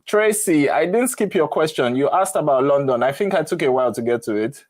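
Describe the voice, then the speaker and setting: A man's voice: fairly high pitch, lively intonation, brisk, no room sound. A middle-aged man with a Nigerian accent, chatting frankly and casually as he hosts a live advice session and tells stories. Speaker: host of a live Q&A on moving to the UK.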